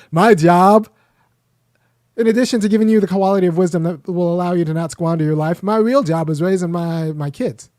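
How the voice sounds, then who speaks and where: Male host, casual rambling talker, slightly high voice, dry close recording. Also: moderate pace, darkish tone, no echo. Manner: rambling, frequent restarts and fillers. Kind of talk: casual commentary monologue.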